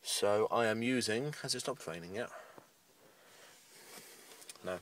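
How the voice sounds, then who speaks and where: A man's voice: on the low side, takes it slowly, fairly bright tone, distant mic. A British man giving a casual, matter-of-fact, rambling video update, recorded inside a parked car. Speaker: amateur radio hobbyist making a video update.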